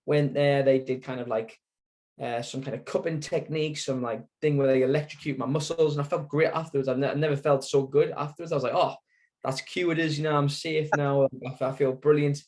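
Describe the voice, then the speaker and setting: A man's voice: a little high, fairly even intonation, a little fast, a little mellow. A young man with a Sunderland accent, a guest telling his story in a casual interview, rambling with lots of fillers and self-corrections. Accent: Sunderland (Mackem). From Sunderland, England.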